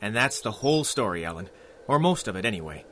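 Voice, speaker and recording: A man's voice: lowish voice, sing-song, quick pace, a bit bright, faint music behind. A man playing a resolute young police constable in a 1930s-style radio drama. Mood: tender, earnest.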